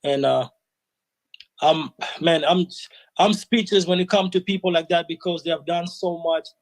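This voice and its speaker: A man's voice: fairly high pitch, fairly even intonation, normal speed, dry recording, rough recording. A man guest in a casual interview, talking earnestly and reflectively, often saying "you know". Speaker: interview guest, sound system selector.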